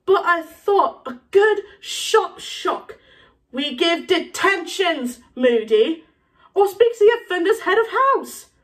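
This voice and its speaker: A woman's voice: lively intonation, moderate pace, hardly any pauses, recorded from a distance. A woman voicing a proper female professor from a storybook, flustered, indignant and scandalised. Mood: flustered protest. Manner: high, breathless, scandalised.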